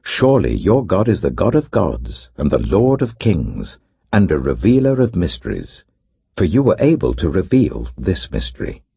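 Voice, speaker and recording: A man's voice: sits low, very animated, normal speed, vocal fry, warm tone, husky, no room sound, heavily acted. A British man voicing an awed, grateful king who speaks with formal reverence, in a scripture audiobook. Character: awed, grateful male king honoring a prophet.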